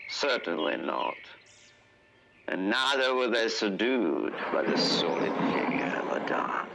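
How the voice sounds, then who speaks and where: A man acting as a grave, conspiratorial storyteller in a dark fantasy radio drama, speaking dramatically with deliberate pauses. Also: on the low side, creaky, slight background noise, full character voice, low-quality recording. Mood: hushed, sorrowful. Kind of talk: theatrical radio drama narration. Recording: music under a remastered radio production. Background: music.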